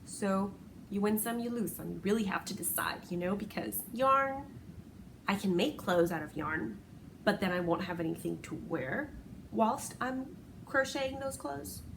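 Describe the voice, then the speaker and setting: A woman's voice: mid-range voice, lively intonation, moderate pace, gravelly, noisy background. Chatty woman podcaster, native Spanish speaker. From Puerto Rico. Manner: chatty, rambling, self-correcting with small laughs. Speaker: crochet podcast host. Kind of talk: casual solo podcast chat.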